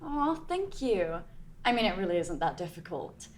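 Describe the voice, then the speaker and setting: A woman's voice: on the low side, big pitch swings, moderate pace, a little hiss or hum. A woman reading the part of a smug, competitive teenage witch girl in a haughty, condescending tone.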